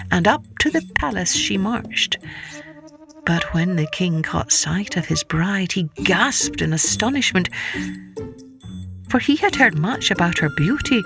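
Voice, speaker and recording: A woman storyteller reading a scripted children's folktale expressively. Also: lowish voice.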